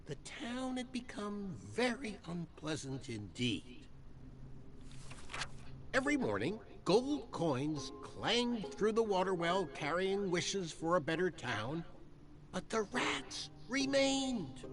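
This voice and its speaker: A theatrical storyteller for a dark video game fable. His fairly high voice rises and plunges dramatically as he takes his time over each phrase, with music playing clearly underneath. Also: big pitch swings, exaggerated voice. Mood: wry, dramatic. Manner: deliberate, dramatic delivery. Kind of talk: theatrical scripted fairy-tale narration.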